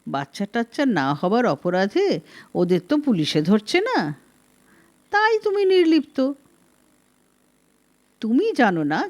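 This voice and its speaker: A woman's voice: on the high side, a little mellow, exaggerated voice. A woman voicing a scolding, exasperated middle-aged wife in a story, emphatic and reproachful. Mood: sarcastic scolding. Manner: emphatic, reproachful, rhetorical questioning.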